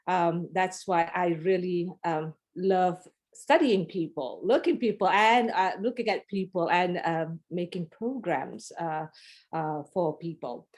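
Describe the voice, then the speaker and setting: Woman, Malaysian accent, middle-aged, low-pitched, friendly, hesitant fillers, video call. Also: a little mellow. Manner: friendly, many fillers and restarts. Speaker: guest presenter. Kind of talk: casual video-call presentation.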